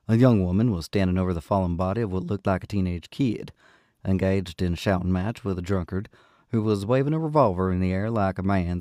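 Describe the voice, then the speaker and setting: A man's voice: low voice, darkish tone, dry recording, clean studio sound. A man who works as an audiobook narrator and panel host, speaking expressively.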